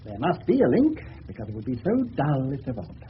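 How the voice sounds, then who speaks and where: Sardonic English policeman, animated pitch. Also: brisk. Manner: mocking, drily elaborate. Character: sardonic, facetious male English police officer. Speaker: police detective. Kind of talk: 1970s British radio drama acting. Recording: dull old radio broadcast, faint noise.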